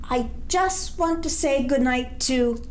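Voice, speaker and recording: A woman voicing a sweet little girl in a bedtime storybook, pleading and stalling in a drawn-out sing-song. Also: high voice, slight background noise.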